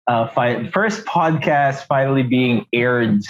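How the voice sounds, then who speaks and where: A man from the Philippines co-hosting a podcast in casual chat, halting and searching for words. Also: medium pitch. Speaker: podcast co-host. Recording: slightly dull, lo-fi sound.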